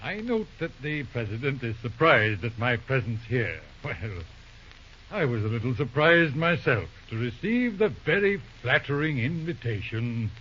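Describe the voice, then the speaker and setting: Sharp-voiced, polished attorney. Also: male voice, medium pitch, very animated, moderate pace. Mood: suave, formal. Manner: formal, polished. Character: polished, self-assured male big-city attorney. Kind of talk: old-time radio drama acting. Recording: dull noisy old radio recording.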